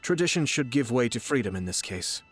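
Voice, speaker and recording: A man's voice: medium pitch, big pitch swings, fairly quick, husky. An actor playing a courteous young nobleman and clan head in a video game, formal, eloquent and composed. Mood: polite, measured. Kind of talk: video game character acting. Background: music.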